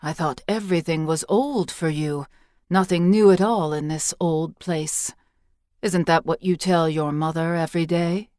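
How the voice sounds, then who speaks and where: A woman voicing a wise, patient old priestess in an audiobook, measured and instructive with gentle teasing. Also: low voice, steady tone, no room sound, studio quality. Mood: gently teasing. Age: older.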